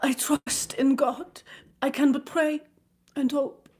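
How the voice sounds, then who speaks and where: An aristocratic French countess, acted out by a woman reading a storybook, speaks in a high, lifted voice with a French accent. She is formal and full of feeling, an anguished mother in exile. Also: little pitch movement, slow, darkish tone. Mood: sighing, anxious hope. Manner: formal, emotional, sighing.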